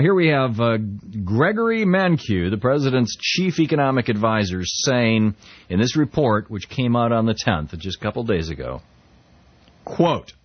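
A man's voice: fairly low pitch, dry recording, lo-fi sound. A middle-aged man from the United States hosting a talk show, giving a conversational political commentary with frequent restarts and quoted readings. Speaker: talk radio host.

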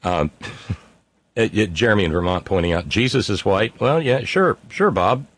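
Deep-voiced man, wry rambling monologue. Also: lively intonation, moderate pace, a bit bright. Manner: rambling, wry, lots of fillers and restarts. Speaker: livestream host reading chat. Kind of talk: casual unscripted monologue.

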